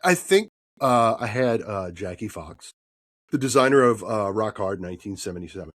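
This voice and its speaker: A man hosting a podcast interview, husky from a cold, upbeat and tagging his points with "right?". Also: on the low side, animated, moderate pace, fairly bright tone, no room sound, studio quality. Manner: upbeat, often adds "right?". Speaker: podcast host.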